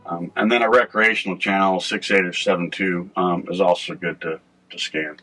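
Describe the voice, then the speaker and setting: Middle-aged male, North American, slightly deep voice, informal instructional vlog host, plain-spoken and explanatory, music behind. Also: steady tone, moderate pace, pushed and bright, low-quality recording. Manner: explanatory, conversational. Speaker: boating video host. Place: inside a small boat cabin at the helm.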